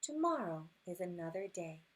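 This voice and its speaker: A woman reading a rhyming children's picture book aloud expressively, unhurried, with hushed moments and little asides. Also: lowish voice, breathy edge. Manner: expressive, unhurried, drops to hushed whispers at good nights. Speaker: storytime reader.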